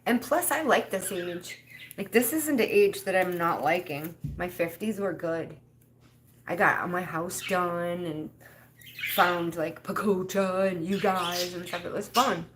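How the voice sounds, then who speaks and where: A woman's voice: lowish voice, measured pace, noisy background. A woman in her fifties chatting casually on a livestream, rambling and laughing often. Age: 50s. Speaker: livestream host.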